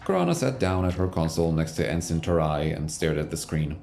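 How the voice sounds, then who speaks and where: A man's voice: rumbling low, sing-song, brisk, vocal fry, a little mellow. A man giving scripted, storytelling narration for an audio drama. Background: music.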